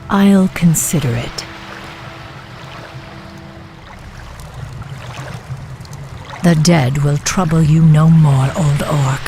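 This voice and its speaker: An actress playing a stern, determined night elf warden in a video game, commanding, cool and measured. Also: female voice, low voice, mellow, faint music behind. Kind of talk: video game cutscene acting.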